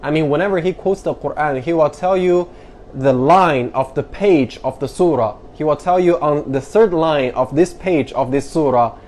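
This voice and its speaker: A man's voice: fairly high pitch, normal speed, a little mellow. A man from China, a YouTuber earnestly explaining a numbered list and stressing each new point. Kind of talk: explainer vlog counting down a list.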